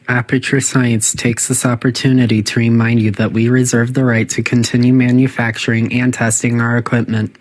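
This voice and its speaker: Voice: mid-range voice, close to an everyday voice, rough recording. A performer voicing a polite but menacing artificial intelligence that runs test chambers, making formal, clinical, passive-aggressive announcements in a video game. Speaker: facility announcer.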